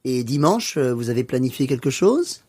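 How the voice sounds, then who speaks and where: A man playing a hospitable Frenchman in a scripted lesson radio play, unhurried and inviting. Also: mid-range voice, very animated, darkish tone, breathy edge, no echo, clean studio sound. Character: Jean-Jacques, hospitable Frenchman among new friends.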